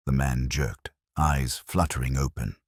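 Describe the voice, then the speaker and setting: A man narrating a scripted detective mystery audiobook in a dramatic, measured, literary way. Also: extremely low pitch, steady tone, husky, no echo, clean studio sound. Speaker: narrator, storyteller.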